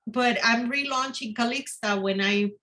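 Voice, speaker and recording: Slow-talking woman, English not her first language. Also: fairly high pitch, bright, forceful tone. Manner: repeats words, restarts often. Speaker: guest author. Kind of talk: casual interview chat.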